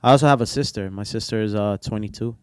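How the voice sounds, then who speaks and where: A man's voice: fairly low pitch, quick pace, smooth, no echo, studio quality. A young man, a barber whose first language is Portuguese, talking casually and rambling with lots of fillers in an interview. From Brazil. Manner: relaxed, rambling with many fillers. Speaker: barber guest.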